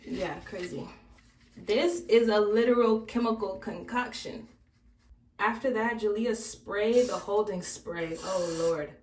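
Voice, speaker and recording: A woman's voice: mid-range voice, slow, darkish tone, a little hiss or hum. A young woman talking to camera as she does her hair, casual and chatty. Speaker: beauty vlogger. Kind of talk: casual hair tutorial talk. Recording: roomy, from a distance.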